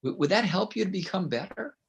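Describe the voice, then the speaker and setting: A middle-aged man from Canada with a loud voice, speaking as a guest in an interview. He is emphatic and tells stories, and he often ends his points with a quick "right?". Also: high voice, animated, vocal fry, slightly rough. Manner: emphatic, storytelling, with frequent "right?" tags. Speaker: guest author and consultant. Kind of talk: conversational interview.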